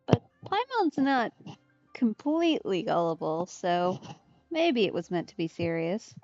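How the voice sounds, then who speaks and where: A woman's voice: on the low side, very animated, measured pace, a little mellow, dry recording, faint music behind. Wry woman streamer, dry dull mic. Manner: wry, musing. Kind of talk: casual let's play commentary.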